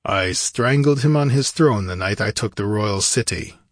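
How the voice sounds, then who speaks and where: A man's voice: fairly low pitch, normal speed, no room sound, clean studio sound, natural voice. Man reading as a blunt barbarian warrior king. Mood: matter-of-fact. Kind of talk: fantasy audiobook character voice.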